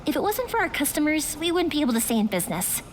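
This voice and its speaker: A woman voicing a cheerful, talkative, warm-hearted young fireworks maker in a video game. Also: sits high, big pitch swings, fast talker, slight background noise. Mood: upbeat, earnest. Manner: talkative, breezy, earnest.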